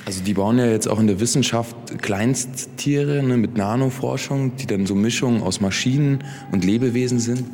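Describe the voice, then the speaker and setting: A man's voice: medium pitch, moderate pace, hardly any pauses, a little mellow. A man interviewing an artist, asking rambling, loosely phrased questions in an exhibition room. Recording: reverberant room with faint noise.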